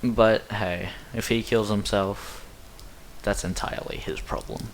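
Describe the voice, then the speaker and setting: Laid-back Australian man, lowish voice. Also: steady tone, unhurried, a little hiss or hum. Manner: chatty, self-deprecating, rambling asides. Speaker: speedrunner. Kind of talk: casual commentary over gameplay.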